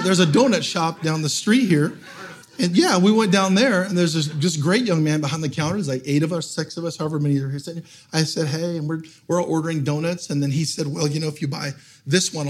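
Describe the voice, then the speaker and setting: Hoarse man preaching with jokes. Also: fairly high pitch. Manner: humorous storytelling, laughing often. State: losing his voice. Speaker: guest pastor. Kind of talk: sermon. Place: church hall with live audience.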